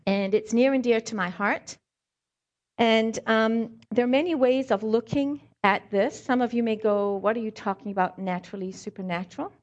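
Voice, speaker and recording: A woman's voice: a little high, fairly even intonation, moderate pace, lo-fi sound. A middle-aged woman with a light Scottish and South African accent gives an informal sermon, reading scripture and telling stories with frequent ums and wry humor. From born in Scotland, raised in South Africa. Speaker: lay preacher, hand therapist. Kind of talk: conversational sermon with anecdotes.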